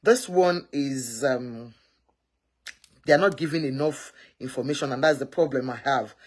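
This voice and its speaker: A woman's voice: a bass voice, normal speed. A woman hosting a casual live matchmaking stream, rambling and often correcting herself.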